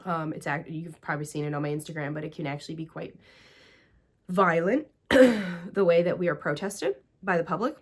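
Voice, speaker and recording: A woman's voice: low voice, normal speed, slightly rough. A woman with an American accent, hosting her own show and talking through her year in a chatty, gushing way with many fillers. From Greece and the United States. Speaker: podcast host and priestess. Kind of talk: casual personal recap talk.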